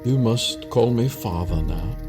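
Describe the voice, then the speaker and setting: A man's voice: mid-range voice, expressive, measured pace, close to an everyday voice. Man playing a doting, stately elderly father, music behind. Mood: doting, proud. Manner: stately, formal. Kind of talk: audio drama acting.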